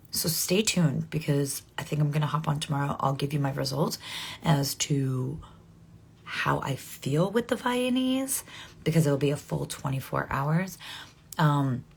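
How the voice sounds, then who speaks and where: A woman's voice: low-pitched, gravelly, husky. A woman in her forties chatting casually with viewers on a live stream, rambling and correcting herself with frequent ums. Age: 40s. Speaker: livestream host.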